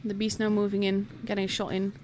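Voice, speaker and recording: Female esports streamer, Dutch accent, medium pitch, informal play-by-play with a chatty manner, music underneath. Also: completely flat delivery, fast talker, a little mellow. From Netherlands. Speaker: esports commentator and stream host. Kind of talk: casual live game commentary.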